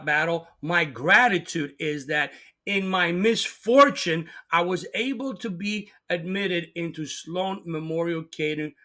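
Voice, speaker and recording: A man's voice: a little high, sharp tone. A middle-aged man giving an earnest, emphatic motivational talk as host, sometimes repeating words as he presses a point.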